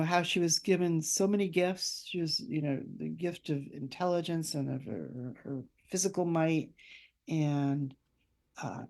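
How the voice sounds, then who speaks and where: An older woman, an author being interviewed, speaking in a thoughtful, anecdotal way with measured phrasing. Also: on the low side, a little mellow. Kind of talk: reflective conversational interview.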